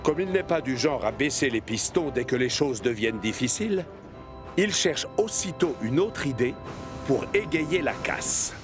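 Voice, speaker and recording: A man narrating a children's cartoon in French dub, with an expressive storytelling manner. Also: mid-range voice, normal speed, over music.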